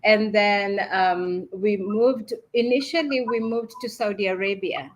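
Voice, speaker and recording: A woman's voice: mid-range voice, unhurried. Kenyan-born woman on a video call. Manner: warm storytelling, laughs often. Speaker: small business founder, guest. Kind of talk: casual video call chat.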